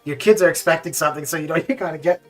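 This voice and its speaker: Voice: mid-range voice, racing, fairly bright tone. Casual streamer chatting over music. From Canada, born in the Caribbean. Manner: chuckles often at own remarks. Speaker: live game streamer. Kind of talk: casual chat while streaming. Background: music with guitar.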